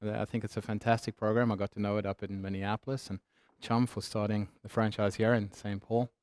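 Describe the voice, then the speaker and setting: A man's voice: on the low side, little pitch movement, fairly quick, a little mellow, no room sound, clean studio sound. Man with a mixed South African accent. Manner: humorous, conversational. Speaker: keynote speaker. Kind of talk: business talk.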